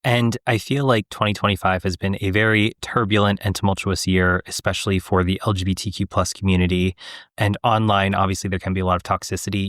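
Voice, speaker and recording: A man hosting a podcast, asking questions and reading a measured segment on tarot. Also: deep voice, fairly bright tone, no echo, clean studio sound. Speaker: podcast host.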